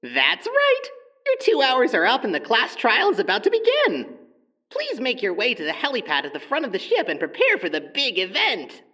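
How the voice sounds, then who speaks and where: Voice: mid-range voice, huge pitch swings, normal speed, pushed and bright, distant mic, exaggerated voice. A performer voicing a gleeful penguin mascot in an audio drama, announcing in a showy sing-song manner.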